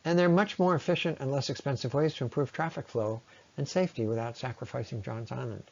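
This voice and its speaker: A man's voice: mid-range voice, quick pace, gravelly. Man giving earnest talk, dull lo-fi sound. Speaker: speaker presenting against a road project. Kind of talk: persuasive public presentation, semi-scripted.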